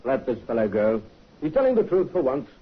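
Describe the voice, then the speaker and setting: A man's voice: medium pitch, wildly swooping pitch, fairly quick, husky. An actor playing a stern, shrewd male police inspector in 1950s radio acting, dry and clipped. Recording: muffled vintage radio recording.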